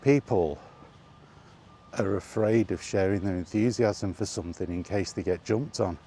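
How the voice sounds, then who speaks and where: A man's voice: low voice, normal speed, warm tone, a little hiss or hum. A man rambling casually in a weary, grumbling way, as in a freewheeling solo vlog. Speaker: vlogger. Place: walking while talking, ambient noise behind.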